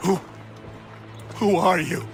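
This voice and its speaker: A man's voice: sits high, takes it slowly, over music. An actor playing a bitter, sardonic man in a video game, with a dry, theatrical, articulate delivery. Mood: bewildered. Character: sardonic, bitter male captive turned informant.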